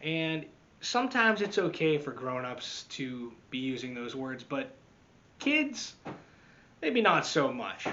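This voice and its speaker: A man reading a picture book aloud to children as a teacher, expressively, with dramatic swings and deliberate pauses. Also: mid-range voice, very animated, pushed and bright, muffled recording, slight background noise. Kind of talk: animated children's storytime read-aloud.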